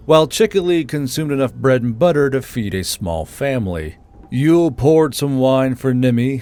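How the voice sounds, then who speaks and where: A man's voice: mid-range voice, a little mellow. A man reading scripted third-person narration for a fantasy audiobook.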